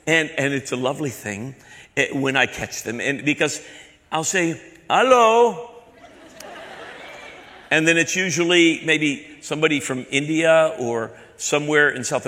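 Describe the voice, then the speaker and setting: A man's voice: a little high, sharp tone. Southern guy preaching, repeats lines for punch, big reverb hall sound. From American South. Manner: preaching with repetition and building emphasis. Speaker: pastor. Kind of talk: sermon. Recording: echoey hall with long reverb.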